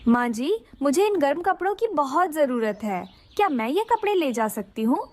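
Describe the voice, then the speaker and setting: A woman playing a gentle, practical mother bird in a cartoon story, polite and earnest. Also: high voice, big pitch swings, normal speed. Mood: polite, grateful.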